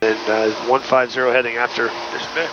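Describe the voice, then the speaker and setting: A man's voice: mid-range voice, level tone, brisk. Muffled American man chatting in a noisy cockpit. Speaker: pilot. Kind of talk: casual cockpit chat. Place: small aircraft cockpit in flight. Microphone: aviation headset. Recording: dull intercom audio, loud aircraft drone.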